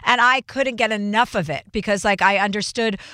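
40s lady host, bright voice, blunt and sweary, just tells you to do it. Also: medium pitch, fairly quick, no room sound, studio quality. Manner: brash, emphatic, swears freely. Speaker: talk show host. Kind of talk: casual advice chat.

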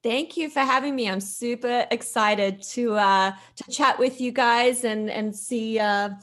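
A woman's voice: a little high, measured pace, a bit bright. Woman with a light Australian accent. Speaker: guest. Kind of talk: casual podcast interview.

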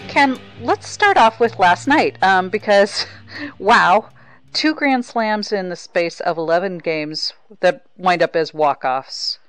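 An American woman hosting a conversational baseball podcast interview in a chatty, enthusiastic manner. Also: on the low side. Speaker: podcast host, baseball beat writer.

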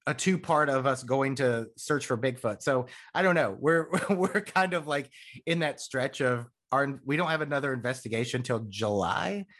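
A man's voice: mid-range voice, moderate pace, a bit bright. A man hosting a casual podcast chat, talking in a rambling way with many fillers and restarts. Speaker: podcast host.

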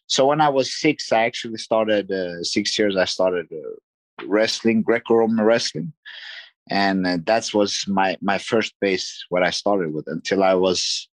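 A man who grew up in Sweden, a guest fighter answering interview questions in a halting, thoughtful way. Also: lowish voice, no echo. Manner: halting, restarts often, thoughtful.

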